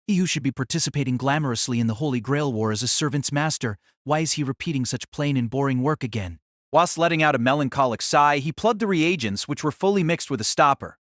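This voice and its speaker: A man's voice: mid-range voice, no room sound, clean studio sound. A man narrating a novel as a scripted audio drama.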